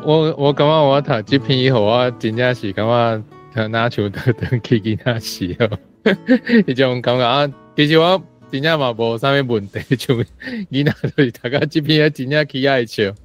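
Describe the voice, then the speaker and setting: A Taiwanese man with a medium-pitched voice talks informally as a reading-club panelist. His manner is appreciative, with a readiness to chuckle. Faint guitar music plays behind him. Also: normal speed. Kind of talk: casual book discussion.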